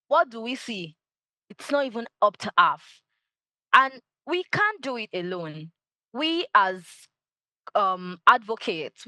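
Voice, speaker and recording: A woman, a Yoruba speaker, answers interview questions with fervent, emphatic conviction. She hammers her points by repeating short phrases. Her voice sits at an ordinary pitch for a woman, and it is recorded close and dry with no room echo. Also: sharp tone. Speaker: guest, culture advocate.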